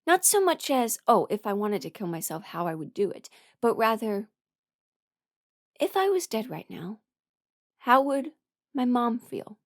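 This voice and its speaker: A young adult woman talking frankly and haltingly in a candid personal ramble, often quoting her own thoughts as she goes. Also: a little high, sing-song, no echo, studio quality. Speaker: voice performer sharing a personal ramble.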